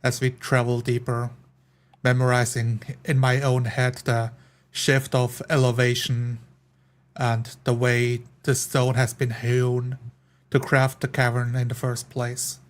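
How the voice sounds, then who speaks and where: A man playing a tabletop game, narrating his character's actions in the first person, halting and deliberate. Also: mid-range voice, monotone. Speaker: tabletop roleplay player.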